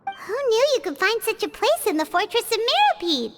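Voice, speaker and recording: A woman voicing a tiny chirpy fairy companion girl with a high childlike voice, blunt and curious, who talks about herself in the third person. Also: big pitch swings, moderate pace, a bit bright, slight background noise, exaggerated voice. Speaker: companion character. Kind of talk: video game dialogue. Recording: game audio with music behind.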